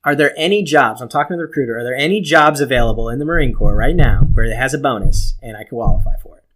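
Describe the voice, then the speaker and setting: A man's voice: mid-range voice, fast talker, noisy background. A man chatting casually as a podcast co-host, telling stories in a profane, self-mocking way. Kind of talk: casual chat, storytelling.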